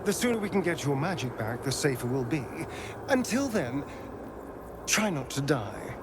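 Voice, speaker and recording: An actor playing a vain, sardonic adventuring companion in a fantasy video game, with an arch, theatrical delivery and a biting wit. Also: male voice, on the high side, big pitch swings, normal speed, heavy background noise. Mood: dry sarcasm.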